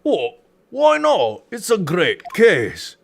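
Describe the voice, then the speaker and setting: A man's voice: high voice, wildly swooping pitch, slow, gravelly, a little hiss or hum, voice pushed up, full character voice. A man voicing an eager, bubbly young girl who writes detective stories, in a theatrical manner for a video game. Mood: curious, indignant.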